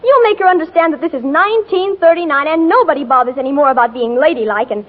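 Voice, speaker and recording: An actress playing a headstrong, slangy fifteen-year-old tomboy in an earnest, gushing 1940s radio drama style. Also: female voice, sits high, expressive, fast, phone-quality sound. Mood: urgent, excited.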